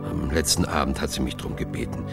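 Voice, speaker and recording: Witness trying to remember, low gravelly voice, halting, music playing under. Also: male voice, fairly even intonation. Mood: hesitant, searching. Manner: halting, searching for words. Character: hesitant male witness groping through old memories. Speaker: actor in a radio crime drama. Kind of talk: German radio play acting.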